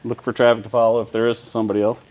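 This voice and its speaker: A man's voice: medium pitch, flat delivery, rapid-fire, a little mellow, lo-fi sound. A man working as an air traffic controller, giving a casual, plain-spoken walkthrough and often checking that the listener follows. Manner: plain-spoken, explaining step by step with checking questions. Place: inside an airport control tower.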